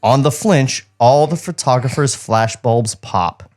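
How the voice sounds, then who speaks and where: A man's voice: medium pitch, a little slow, a little mellow, faint music behind. A man co-hosting a podcast, reading script directions aloud with sarcastic asides.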